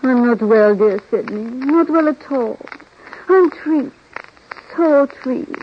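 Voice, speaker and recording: Whiny melodramatic foreign movie star, high voice, languid, hissy old 1930s radio. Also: female voice, lively intonation, slow, highs cut off. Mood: plaintive. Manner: languid, theatrical complaining. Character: melodramatic, pleading foreign female film star. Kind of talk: 1930s radio comedy acting.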